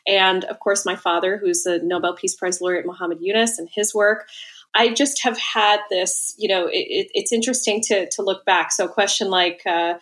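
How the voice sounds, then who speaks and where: A woman's voice: medium pitch, fairly bright tone, low-quality recording. A woman, an opera singer, chatting casually and bubbly as a guest in an interview. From United States.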